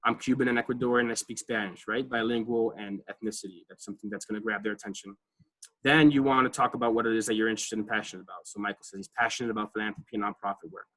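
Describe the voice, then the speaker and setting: A man's voice: fairly low pitch, steady tone, very fast, a bit bright, poor audio. A man presenting a college advising workshop over a video call, talking casually with many fillers and checking in with "right?". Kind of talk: online class presentation.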